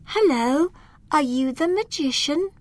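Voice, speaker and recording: A woman voicing a brave tiny elf girl in a storybook, bright and excitable. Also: sits high, a little slow, pitched above the performer's own voice. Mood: spirited.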